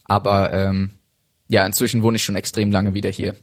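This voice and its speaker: A man with a slight American accent, a filmmaker guest in a casual interview who speaks calmly with some fillers. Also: lowish voice, normal speed, no room sound, clean studio sound. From Germany and the United States.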